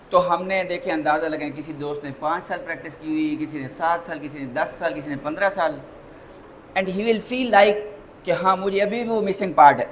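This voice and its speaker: A Pakistani man giving a lecture as a lawyer, deliberate and emphatic, slowing down when he mixes in English phrases. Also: sits high, slight background noise, rough recording.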